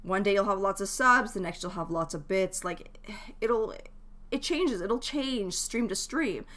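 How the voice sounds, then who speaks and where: A woman's voice: mid-range voice, animated, unhurried, a bit bright. A woman streamer giving casual advice, thinking aloud and leaning on key words as she goes.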